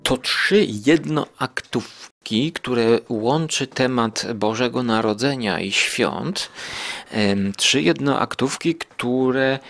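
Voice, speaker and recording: A man hosting a podcast, giving an informal review in a halting way, rephrasing as he goes. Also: mid-range voice, vocal fry, fairly bright tone.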